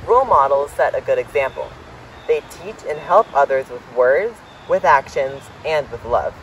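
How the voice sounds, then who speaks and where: A man's voice: mid-range voice, animated, moderate pace, fairly bright tone. A young adult man reading a children's picture book aloud outdoors, recorded from a distance. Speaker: reader. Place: outdoors in autumn, seated by shrubs. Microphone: camera several meters away. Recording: outdoor, distant mic, faint noise.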